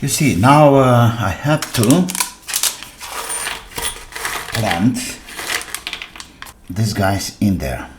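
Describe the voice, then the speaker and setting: A man with a low voice and non-native English talks slowly through a hands-on repair. He thinks aloud in a halting, casual way, over clear background noise with clicks and clatter of parts. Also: lively intonation. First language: non-native English speaker. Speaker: repair channel host.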